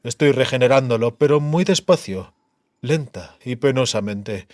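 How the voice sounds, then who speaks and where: A man voicing a cruel, disfigured sorcerer in a measured, theatrical monologue, coldly gloating and menacing. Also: mid-range voice, animated, darkish tone, no room sound, clean studio sound, close to an everyday voice. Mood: cold, resentful.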